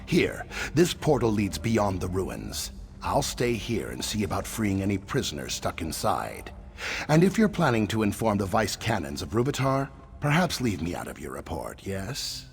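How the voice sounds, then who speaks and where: Low, gravelly, shifty lizard-man. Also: huge pitch swings, moderate pace, heavily acted. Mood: relieved, wheedling. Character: shifty, self-preserving male lizard-folk relic hunter with a tail. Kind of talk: fantasy video game voice acting. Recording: music behind the voice. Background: game music.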